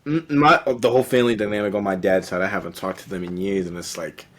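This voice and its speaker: Animated young male podcast host. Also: on the low side, fast, a little hiss or hum. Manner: animated, playful, jokey. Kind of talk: casual conversation.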